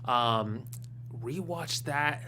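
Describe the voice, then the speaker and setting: A man's voice: medium pitch, extremely slow. A man co-hosting a TV recap show, steering the chat and speaking straight to viewers. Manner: steers the talk and addresses viewers directly. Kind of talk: casual panel chat. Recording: humming background noise.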